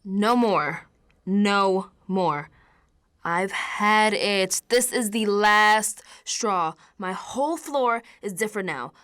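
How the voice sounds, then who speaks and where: An actress playing a frightened, defiant young woman who is unraveling, in a colloquial, halting radio drama monologue. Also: mid-range voice, lively intonation. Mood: distraught, defiant. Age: young adult. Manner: colloquial, halting, slow, drifting into hushed tones.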